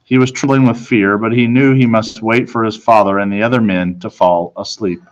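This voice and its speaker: A man narrating a children's novel as a reader, in a measured, suspenseful storytelling manner. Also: lowish voice, steady tone, lo-fi sound.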